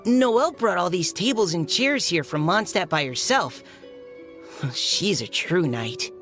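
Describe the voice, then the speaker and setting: A woman's voice: lowish voice, animated, takes it slowly, fairly bright tone, soft background music. A woman voicing a shy, modest young boy knight in a video game, hesitant and easily flustered. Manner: hesitant, stammering.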